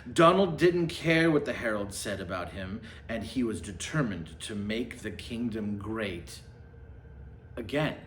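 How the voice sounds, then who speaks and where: A man's voice: on the low side, animated, a little slow, slight background noise. Sarcastic man reading aloud, swinging and roomy. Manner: mock-dramatic storytelling, sarcastic muttered asides. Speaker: host reading a children's book aloud with mocking commentary. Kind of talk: satirical storybook read-aloud with casual asides. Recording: roomy, echoing sound.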